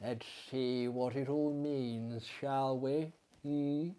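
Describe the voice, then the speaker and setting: Slow-paced, theatrical male storyteller. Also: medium pitch, fairly even intonation, warm tone, clean tone. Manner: hammy, dramatic, leisurely formal phrasing. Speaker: storyteller, performer. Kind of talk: theatrical storytelling with chatty asides.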